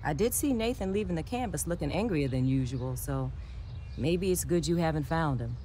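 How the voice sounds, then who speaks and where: An actress playing a sensible, skeptical but caring science teacher in a video game, speaking in a measured, matter-of-fact way. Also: female voice, sits low, animated, dark tone, slight background noise. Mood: measured, regretful. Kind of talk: video game dialogue acting.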